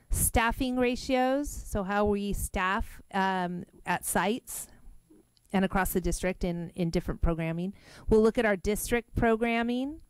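A woman facilitating a public meeting, upbeat, brisk and organized as she lays out next steps. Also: medium pitch, clear, resonant tone, no room sound, low-quality recording. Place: large meeting room.

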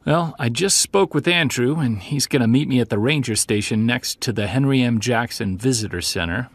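An actor playing an earnest, wry Sasquatch investigator who records a conversational field journal for an audio drama. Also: male voice, mid-range voice, moderate pace, no room sound, close to an everyday voice. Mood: intrigued.

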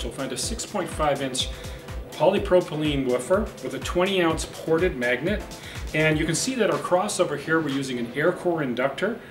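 Roomy, higher-pitched man presenting a product. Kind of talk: product demonstration to camera. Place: indoor studio set with branded backdrop. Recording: roomy, distant mic, music behind.

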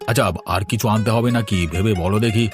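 A man's voice: fairly low pitch, brisk, natural voice. A man playing a genial village husband in a cartoon, coaxing in a folksy manner. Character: genial, food-loving village husband, early riser. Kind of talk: cartoon dialogue. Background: instrumental music.

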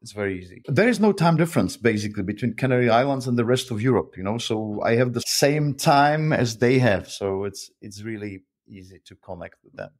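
A man's voice: mid-range voice, expressive, a little fast, hardly any pauses. Friendly man, chatty. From Czech Republic. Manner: friendly, talkative, with frequent uh fillers and restarts. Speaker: guest, author and freelancer. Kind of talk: interview conversation.

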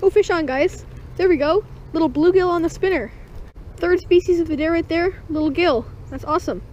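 An excited fishing vlogger narrating catches on a creek bank, calling out to the viewers as guys, recorded on a body-worn action camera. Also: very high voice, brisk. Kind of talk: excited first-person fishing commentary. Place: outdoors at a small creek bank. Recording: dull lo-fi camera audio with faint noise.

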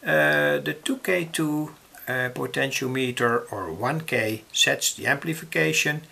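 A man with a Dutch accent explaining electronics in an unscripted, halting and deliberate tutorial style. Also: mid-range voice, creaky, sharp tone. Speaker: electronics hobbyist presenter. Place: indoors, at a table.

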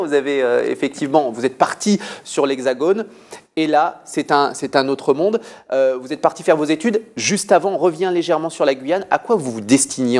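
A man's voice: mid-range voice, a little mellow. A man in his thirties hosting a talk show in an informal, teasing, conversational way.

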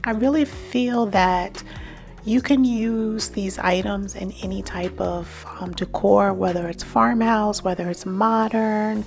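A middle-aged woman narrating a shop-with-me vlog about home decor, enthusiastic and gushing over finds, sometimes dropping to a soft whisper. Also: mid-range voice, steady tone, slow, creaky, faint music behind. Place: in a car, then walking through large stores.

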